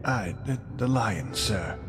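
A man's voice: on the low side, slow pace. Man as a meek bumbling prince in long reverb. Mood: sheepish. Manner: sheepish, hesitant, prissy formal phrasing. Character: meek, bumbling, pampered prince in a menial job. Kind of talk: comedic audio drama acting. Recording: long reverb under loud music.

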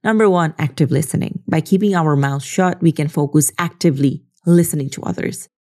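Low, gravelly woman vlogger. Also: fairly quick, mellow. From Philippines. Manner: earnest, leans on "you know" and "right?". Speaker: social media creator. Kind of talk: casual reflective monologue.

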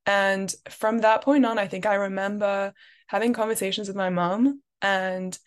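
A woman's voice: mid-range voice, steady tone, normal speed, smooth. Thoughtful young woman. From France, raised in London. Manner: thoughtful, many "like" and "um" fillers. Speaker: guest. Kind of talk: reflective interview talk.

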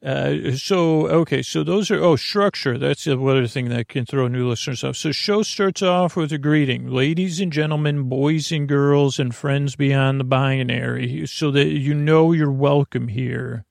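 A man with a creaky voice hosts a bedtime podcast, rambling in a halting, meandering, self-deprecating way full of tangents. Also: mid-range voice, a little mellow, dry recording, clean studio sound. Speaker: podcast host.

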